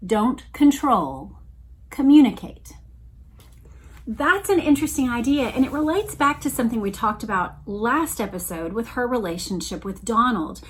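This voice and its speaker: Middle aged lady podcast host, voice a bit high, thoughtful read-along style, measured and deliberate. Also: husky. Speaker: podcast host reading a book aloud and commenting. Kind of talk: reflective solo podcast talk mixed with read-aloud passages.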